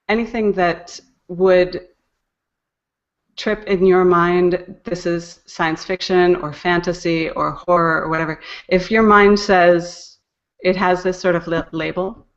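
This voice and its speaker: A woman with a fairly low voice speaks as a guest in a casual interview. She feels her way through her thoughts, pausing and restarting. The sound is somewhat dull, like an internet call. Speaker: guest, poetry podcast editor and narrator.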